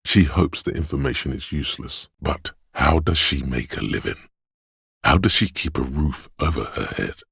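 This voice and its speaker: An actor voicing a perceptive, compassionate, brooding inner voice in a video game, with measured, hushed, deliberate narration and a gravelly, husky tone. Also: male voice, extremely low pitch, lively intonation, no echo, clean studio sound, full character voice. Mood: somber, compassionate. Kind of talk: scripted second-person game narration.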